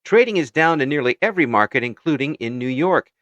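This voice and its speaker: A male radio news anchor, played by an actor, delivers scripted bulletins in a formal, measured way. His mid-pitched voice sits in a very dry recording with no room around it. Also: lively intonation, a bit bright, dry recording, muffled recording, lightly acted.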